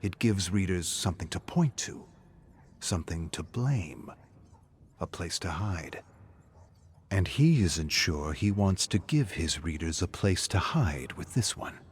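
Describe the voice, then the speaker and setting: A man's voice: sits low, expressive, unhurried, a little hiss or hum. A man giving a dramatic, scripted narration of a horror story.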